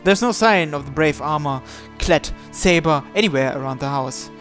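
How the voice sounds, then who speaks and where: A man's voice: on the high side, normal speed, a bit bright, soft background music. A man hosting a visual novel playthrough, reading the first-person narration aloud.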